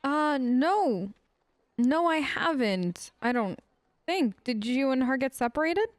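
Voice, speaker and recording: A woman giving casual, chatty role-play commentary over a video game, playful and gentle, often hesitating and tagging on questions. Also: high-pitched, animated, slow pace, no room sound. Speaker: gamer playing a role-play let's play.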